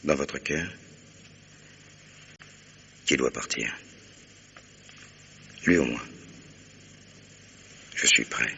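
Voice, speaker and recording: An actor playing a stern, devoted husband in a French radio mystery play, speaking with formal, earnest authority. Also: male voice, extremely slow, pauses often, pushed and bright, a little hiss or hum, full character voice. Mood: tender, grave. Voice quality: very deep, gravelly, husky. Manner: earnest, commanding, formal address. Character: stern, devoted new husband, protective and determined.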